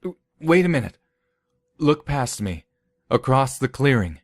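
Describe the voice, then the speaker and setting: A man's voice: medium pitch, sing-song, unhurried, no room sound, clean studio sound, voice pushed up. A man acts out a desperate man at gunpoint in a storybook thriller. The voice is raised well above his natural register, urgent and shaky, pleading to be believed.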